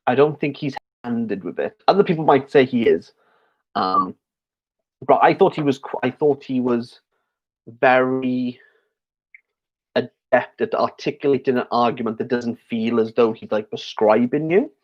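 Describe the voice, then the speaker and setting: A man's voice: medium pitch, unhurried. A man hosting a casual livestream Q&A about books, chatty and rambling with lots of fillers and restarts, a little tipsy. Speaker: book channel host. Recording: slightly dull lo-fi stream audio.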